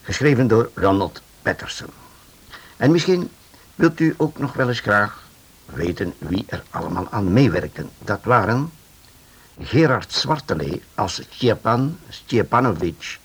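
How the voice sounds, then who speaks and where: Male announcer for vintage radio drama credits, deep-leaning husky voice, very expressive intonation. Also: a little slow. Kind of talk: 1950s radio closing credits narration.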